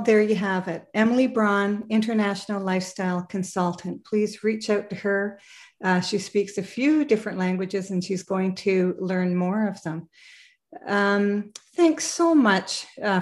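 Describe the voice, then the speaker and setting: A woman's voice: medium pitch, a little mellow. Encouraging female podcast host. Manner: encouraging, frequent affirmations. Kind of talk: interview hosting.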